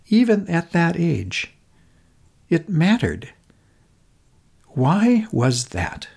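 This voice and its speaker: A middle-aged man, narrating a personal essay as a podcast host in an earnest, contemplative manner with deliberate, short phrases. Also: medium pitch, creaky, darkish tone. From Minnesota, United States.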